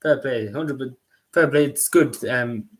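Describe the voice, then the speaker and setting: Hesitant Derry-accented young man streaming. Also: medium pitch. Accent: Derry, Northern Irish. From Northern Ireland. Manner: halting, rambling, apologetic, full of restarts. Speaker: livestreamer. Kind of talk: casual live-stream chat with viewers.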